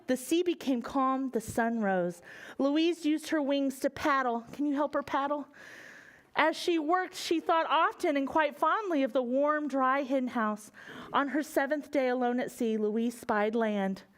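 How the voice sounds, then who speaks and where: An American woman reading a picture book aloud to young children, expressively and with questions for them along the way. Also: sits high. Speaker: children's library storyteller.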